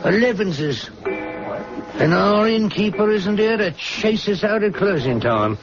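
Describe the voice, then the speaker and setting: An actor playing a chatty, folksy village pub regular in a radio drama. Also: male voice, high-pitched, lively intonation, a little slow, over music. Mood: mischievous gossip. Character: gossipy, chummy English village pub regular. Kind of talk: radio drama acting, colloquial. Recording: dull radio recording with crowd behind.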